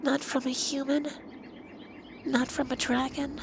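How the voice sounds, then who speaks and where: A man's voice: very high voice, little pitch movement, heavily acted. A man voicing a centuries-old, androgynous male dragon in an intimate ASMR roleplay, speaking formally and earnestly as a stern but caring lover. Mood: tender, reassuring. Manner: formal, earnest, deliberate phrasing. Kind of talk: ASMR roleplay, intimate scripted monologue addressed to listener. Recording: clear background noise with ambience.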